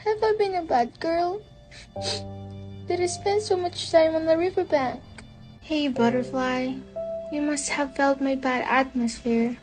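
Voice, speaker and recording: A woman acting a gentle, earnest young daughter who loves nature, in a student radio drama. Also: high-pitched, measured pace. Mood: grieving. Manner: earnest, theatrical, tender. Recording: background music under the voice.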